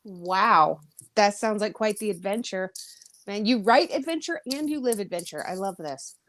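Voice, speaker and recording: A woman with a mid-pitched voice hosts a podcast interview. She talks in a casual, chatty style. Her manner is effusive, with plenty of exclamation. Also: animated, moderate pace, fairly bright tone.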